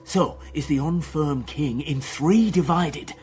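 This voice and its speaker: An actor playing a confident, bold rebel lord in a radio Shakespeare play, emphatic and declarative. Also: male voice, a little high, slow, darkish tone. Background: music.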